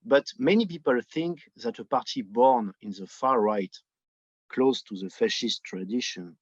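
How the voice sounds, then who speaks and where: A man's voice: medium pitch, unhurried, husky, no room sound, lo-fi sound. A man from France with a French accent, giving political commentary in conversation as an interview guest and searching for his words. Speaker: interview guest, book editor.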